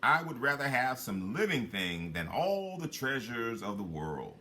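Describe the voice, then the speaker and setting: A man voicing a sly, insistent little magical man from a fairy tale, making emphatic, deliberate demands. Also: mid-range voice, very animated, sharp tone, a little hiss or hum, exaggerated voice. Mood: firm, demanding.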